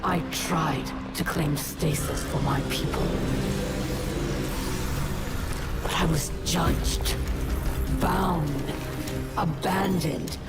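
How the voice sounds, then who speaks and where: An actress voicing a bitter, proud female alien captain delivering a grave, deliberate monologue in a video game. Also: low-pitched, loud music behind the voice. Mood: bitter, defiant. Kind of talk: cinematic game narration.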